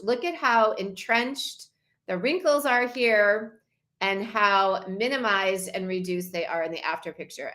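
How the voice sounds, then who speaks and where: Slow, enthusiastic woman in her fifties. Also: mid-range voice, bright, forceful tone. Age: 50s. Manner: enthusiastic, repeats key points. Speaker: wellness challenge host. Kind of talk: presenting slides to an online group.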